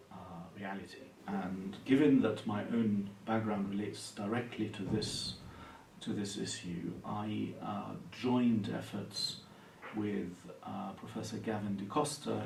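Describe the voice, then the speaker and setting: A man from Palestine speaks in a low, gravelly voice, measured and thoughtful, often pausing on fillers. He is recorded in an echoey room from some distance, with faint hiss underneath. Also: darkish tone. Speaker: university lecturer and Anglican priest. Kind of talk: interview answers.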